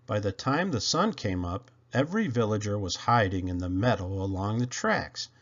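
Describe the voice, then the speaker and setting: A man reading a children's story aloud, narrating expressively with dramatic emphasis and drawn-out words. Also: fairly low pitch, dull audio. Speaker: storybook reader.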